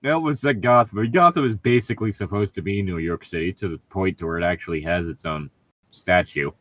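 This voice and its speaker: A man's voice: sits low, fairly quick, fairly bright tone, no room sound. A man co-hosting a comedic read-aloud, bantering casually with sarcastic, joking, profane commentary. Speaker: co-host reading and mocking fan fiction.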